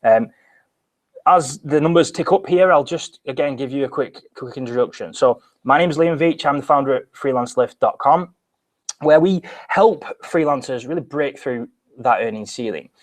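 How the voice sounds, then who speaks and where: A man's voice: mid-range voice, moderate pace. A young British man hosting a live webinar from a small office, speaking energetically with frequent restarts. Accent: British English. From Britain. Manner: energetic, talks with his hands, frequent restarts. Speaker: webinar host. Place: small bright office, indoors.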